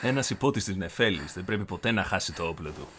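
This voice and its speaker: A man voicing a calm, seasoned general who speaks quietly and measuredly, gently teasing his young pupil. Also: low-pitched, a little hiss or hum. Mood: fond. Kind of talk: fan dub of an animated short.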